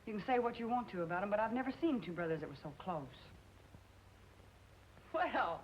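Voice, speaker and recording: An actress as a young frontier woman speaks with a very varied, mid-pitched voice. She is caring but firm and level-headed, on a faintly noisy old TV western soundtrack. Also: lively intonation, fairly quick, lo-fi sound, natural voice. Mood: concerned. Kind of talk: 1950s television western acting.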